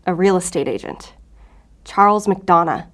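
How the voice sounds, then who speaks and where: A woman's voice: lowish voice, unhurried, close to an everyday voice. An actress playing a defensive, earnest female federal agent in an audio drama, guarded in manner. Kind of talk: audio fiction drama acting.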